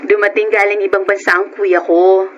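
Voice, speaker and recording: A woman's voice: medium pitch, flat delivery, normal speed, lo-fi sound, slight background noise, natural voice. An actress playing a kind, generous woman teacher in a Filipino radio drama, with a Filipino accent. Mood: warm, modest.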